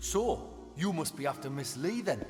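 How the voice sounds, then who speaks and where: A man's voice: on the high side, big pitch swings, normal speed. A man playing a bitter, taunting faceless outcast in a video game cutscene. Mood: bitter, mocking. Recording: long echoing reverb, music behind.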